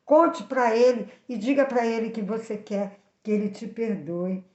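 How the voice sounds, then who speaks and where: A woman's voice: on the high side, a little slow, darkish tone. A Brazilian woman telling moral Bible stories to children in an earnest, didactic way, speaking directly to the listener. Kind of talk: moral storytelling with preaching for children. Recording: echoey room, slightly dull sound.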